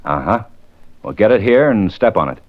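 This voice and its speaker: An actor playing a gruff, businesslike police detective giving clipped orders in a 1950s radio drama. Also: male voice, on the low side, very animated, normal speed. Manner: clipped, commanding. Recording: noisy, dull vintage radio broadcast.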